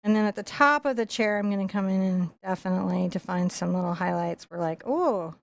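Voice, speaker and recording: A woman's voice: medium pitch, fairly quick, vocal fry, no room sound. A woman giving a live painting lesson, chatting and laughing while she explains each brushstroke. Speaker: painting instructor.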